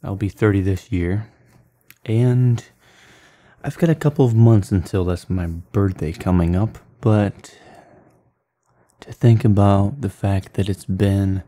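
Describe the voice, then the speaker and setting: A man's voice: lowish voice, takes it slowly, dark tone, roomy sound. A man in his late twenties talking casually in a rambling vlog, with lots of fillers and restarts. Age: late 20s. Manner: meandering, many fillers and restarts. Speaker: art vlogger. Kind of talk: voice-over while drawing. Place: small apartment kitchen, indoors. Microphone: handheld camera at arm's length.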